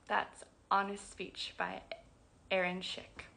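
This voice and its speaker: A woman's voice: medium pitch, creaky, pushed and bright. A young woman who stutters with sound repetitions, speaking earnestly and affectionately in a casual livestream announcement from a small room at home into a phone. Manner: earnest, affectionate, slow-paced. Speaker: program director. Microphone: phone camera at arm's length.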